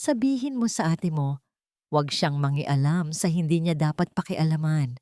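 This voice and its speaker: A menacing street thug played by a woman narrator in an audio drama. He delivers warnings bluntly, in a lowish, dryly recorded voice. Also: expressive, normal speed, dark tone, no room sound, clean studio sound.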